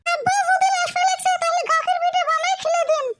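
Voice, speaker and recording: A man voicing a bossy village wife in a comic cartoon, with a pitched-up squeaky voice. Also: level tone, fast talker, heavy background noise. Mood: nagging.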